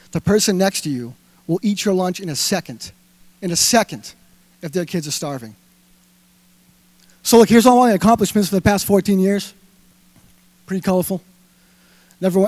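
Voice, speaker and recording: A man with a Boston accent giving a lively stage talk, firing questions at the audience with plenty of fillers. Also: high voice, no echo. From Massachusetts. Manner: punchy, rhetorical questions to the crowd, filler words. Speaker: speaker at a live talk event. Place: indoor event hall.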